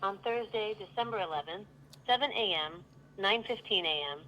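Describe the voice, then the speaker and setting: A computer-generated woman's voice with a bright tone and medium pitch. It serves as a virtual receptionist, polite and accommodating, with neatly scripted customer-service phrasing. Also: moderate pace, low-quality recording. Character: polite female AI virtual assistant for a business.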